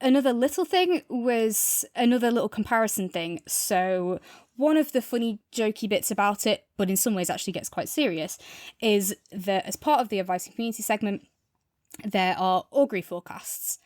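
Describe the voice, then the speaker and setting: A woman's voice: mid-range voice, expressive, moderate pace, a little mellow, no echo, clean studio sound. A woman co-hosting a podcast in a casual review chat, enthusiastic and wandering freely between points. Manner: enthusiastic, discursive. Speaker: podcast co-host.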